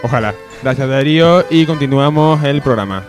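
A man's voice: medium pitch, moderate pace. A man, bantering casually as he hosts a comedy radio show. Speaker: radio host running the show and music. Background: faint music.

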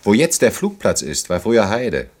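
Sardonic, deep-voiced German older man. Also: wildly swooping pitch, moderate pace. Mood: nostalgic. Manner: dry, mocking, reminiscing. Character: established older man, sardonic, nostalgic, needling a young woman. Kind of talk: naturalistic German radio play dialogue.

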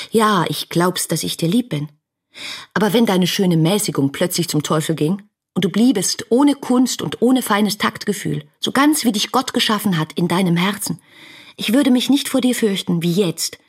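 Husky, passionate young woman. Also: lowish voice. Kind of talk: radio drama reading of letters.

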